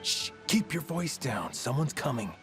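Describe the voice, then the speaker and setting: An actor playing a soldier who passes on rumors in a hushed, confiding way. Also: male voice, mid-range voice, very animated, measured pace, over music. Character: gossiping conspiratorial soldier.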